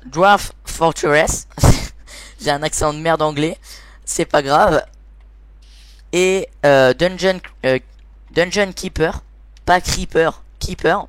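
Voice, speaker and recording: A man with a somewhat high-pitched voice hosts an informal explainer over gameplay. His delivery is hesitant, and he often stops to search for the next word. The sound is very dry, with hardly any room in it. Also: clean tone, no echo. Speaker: host of a gaming video series.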